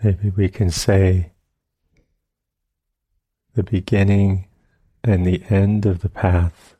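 A man with a low, very mellow voice guides a meditation. He speaks slowly and gently, leaving long pauses between short phrases, in the manner of a patient teacher. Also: level tone, slightly rough, no room sound. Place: retreat center meditation session.

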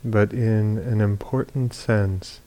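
A man with a deep, mellow voice guides a meditation. He speaks in short, measured phrases and leaves long pauses between them. His delivery is very even, with little melodic movement. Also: low-quality recording. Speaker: meditation teacher. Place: meditation retreat hall.

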